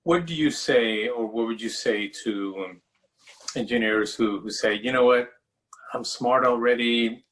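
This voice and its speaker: A man of middling pitch hosting an interview. He builds his questions slowly, pausing on fillers and restarting phrases as he goes. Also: fairly bright tone. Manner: hesitant, halting questions with fillers. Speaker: interviewer, show host.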